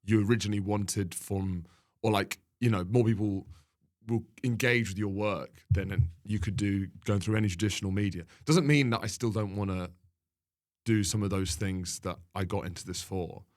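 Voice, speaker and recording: Low-voiced man chatting wryly. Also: steady tone, normal speed, darkish tone. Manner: wry, bantering, laughs easily. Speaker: podcast guest, comedian. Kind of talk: casual conversational chat.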